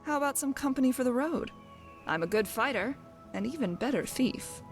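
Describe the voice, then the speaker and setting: A woman's voice: sits high, expressive, fairly quick. Actress as female rogue companion for fantasy game: brash, wry, cocky, theatrical delivery, music underneath. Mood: cocky, friendly. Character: brash, wry female thief, undying adventurer. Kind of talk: voiced game dialogue.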